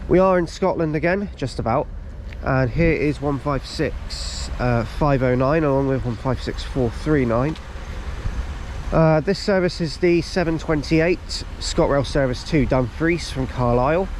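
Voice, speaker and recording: A man with a cold-stuffed nose talks casually and rambles a little, filling gaps with ums as he narrates. He is recorded outdoors on a camera mic. Clear outdoor noise and passing trains fill the background. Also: fairly high pitch, unhurried, a little mellow. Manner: chatty, lots of ums and restarts. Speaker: railway vlogger. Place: outdoors on station platforms.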